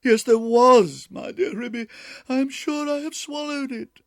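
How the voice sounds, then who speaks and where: A man's voice: mid-range voice, wildly swooping pitch, unhurried, breathy edge, no echo, studio quality, voice pushed up, heavily acted. A man voicing a greedy, anxious female dog who whines and moans melodramatically. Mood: wailing. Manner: whining, melodramatic.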